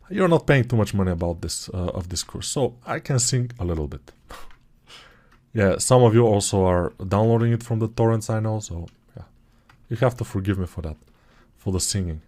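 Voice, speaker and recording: Chatty Bulgarian-accented man, lowish voice. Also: lively intonation, moderate pace, pauses often, a little mellow. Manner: chatty, digressive, self-correcting. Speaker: 3D modeling course instructor. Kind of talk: software tutorial walkthrough.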